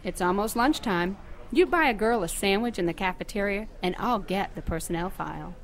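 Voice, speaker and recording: A woman's voice: medium pitch, fairly quick, natural voice. A woman playing a chatty, flirtatious nurse in her fifties, in conversational audio drama dialogue. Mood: playful, gossipy.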